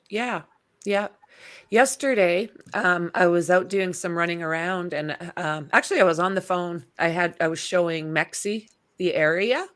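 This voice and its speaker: A woman hosting a casual livestream chat, talking in a relaxed, rambling way with lots of fillers. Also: fairly low pitch. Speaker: livestream host.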